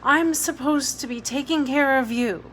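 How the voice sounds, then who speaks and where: A woman's voice: sits high, unhurried, a bit bright, slight background noise, pitched above the performer's own voice. A woman reading a storybook, voicing a weary, blunt, guilt-ridden woman guardian with an emphatic manner. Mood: despondent.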